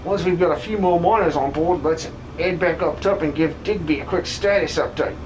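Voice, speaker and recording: A man's voice: fairly high pitch, normal speed, natural voice. A man voicing a cowardly, cheeky miner with a Cockney accent and slangy, theatrical delivery in a video game fan dub. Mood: helpful, chatty. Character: cowardly, cheeky working-class male miner, grumbling and excitable. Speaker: streamer voice acting game characters. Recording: clearly noisy background.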